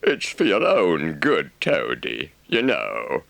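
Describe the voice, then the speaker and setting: A man's voice: extremely low pitch, wildly swooping pitch, slow, vocal fry, a bit bright, dry recording, full character voice. A man voicing a plain-spoken rat, kindly but a bit scornful, in a storybook reading.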